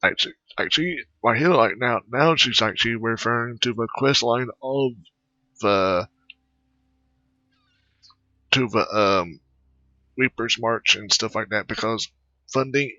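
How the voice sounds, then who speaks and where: A man giving casual, rambling, halting commentary over his video game playthrough. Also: mid-range voice, bright, forceful tone, no room sound. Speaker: gamer recording a playthrough. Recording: dull, close dry microphone.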